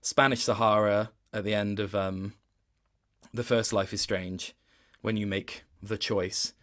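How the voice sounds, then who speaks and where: A man's voice: on the low side, flat delivery, measured pace, fairly bright tone. Male interviewee, casual and reflective, thoughtful delivery that doubles back while finding the right words. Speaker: interview guest, voice actor.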